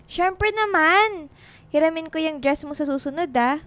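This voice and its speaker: Casting: cute kid sister for radio drama, high voice, unhurried, wheedling and eager to please, music bed. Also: female voice, fairly bright tone. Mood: excited, admiring. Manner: cute, wheedling, respectful to elders. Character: playful, sweet schoolgirl younger sister, eager to please.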